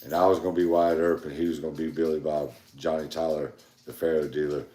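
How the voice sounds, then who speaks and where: A man hosting a podcast, joking around in casual chat with a very deep voice. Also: flat delivery, quick pace, warm tone, roomy sound. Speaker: podcast host.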